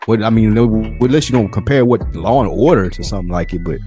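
A man's voice: fairly low pitch, animated, fast, dark tone, faint music behind. An American man co-hosting a podcast, chatting informally in slangy, opinionated talk. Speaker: podcast co-host. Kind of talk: casual chat about TV shows and podcasts.

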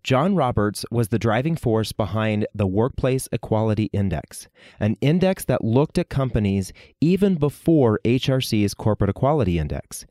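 A man's voice: on the low side, expressive, moderate pace, few pauses, warm tone, no echo, studio quality. A male podcast host delivering a scripted intro and then asking questions with emphatic energy.

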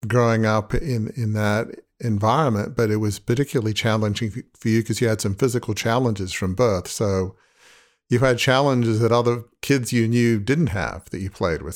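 Low-voiced male host, halting questions. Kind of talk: interview questions.

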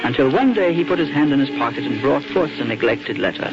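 A man narrating a scripted 1940s radio drama in a measured, storytelling manner. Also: medium pitch, expressive, close to an everyday voice. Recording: muffled old radio recording with music behind.

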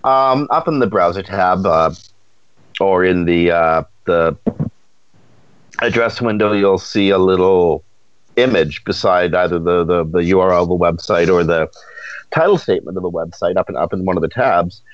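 A man's voice: deep voice, normal speed, dry recording, lo-fi sound. A man co-hosting a casual talk show, explaining things with playful, jokey asides. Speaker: podcast co-host. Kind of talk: casual chat.

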